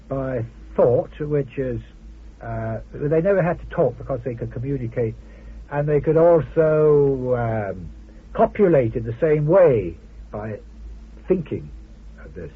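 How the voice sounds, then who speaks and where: A man with a fairly high, husky voice talks as an interview guest. He feels his way haltingly through his points with dry amusement. The sound is a narrow, muffled old radio recording. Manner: halting, hesitant delivery with wry amusement. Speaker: interview guest, journalist and author. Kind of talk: informal interview conversation.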